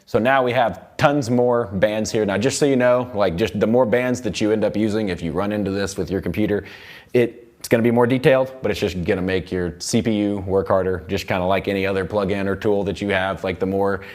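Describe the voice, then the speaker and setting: A young man explaining music software in a casual, loosely worded tutorial style, repeating words as he goes. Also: on the low side, steady tone, brisk. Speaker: music production instructor. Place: recording studio control room.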